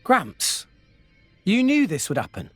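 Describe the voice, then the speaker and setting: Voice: mid-range voice, wildly swooping pitch, slow pace, breathy edge, dry recording, faint music behind, full character voice. An actor playing an earnest, plucky teenage boy hero in a fantasy video game. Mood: earnest, concerned.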